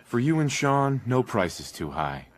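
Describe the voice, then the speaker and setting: A man playing a weary army veteran and young family's husband in a video game, speaking in a low-key, dry way. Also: sing-song, a little slow. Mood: warm, resigned. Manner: grave when narrating, dry and low-key at home. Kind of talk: cinematic video game voice acting. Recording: loud music behind the voice.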